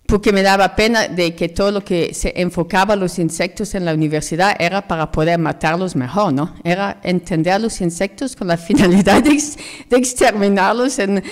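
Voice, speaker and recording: A woman's voice: medium pitch, a little fast, big-room sound. A middle-aged British woman from Jersey, speaking as an ecologist guest in a radio interview, earnest and reflective. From Jersey, United Kingdom. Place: radio studio.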